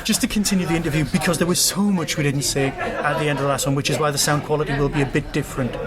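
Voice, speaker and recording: A man with a slightly high voice interviews casually for a podcast, joking at his own expense. Convention crowd noise sits clearly behind him, in uneven auditorium sound. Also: fairly even intonation, rapid-fire. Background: crowd chatter.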